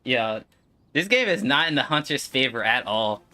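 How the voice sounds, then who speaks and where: A man doing casual live gameplay commentary, streaming in an excitable, slangy way with swearing and exclamations. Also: medium pitch, normal speed, soft background music. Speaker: game streamer.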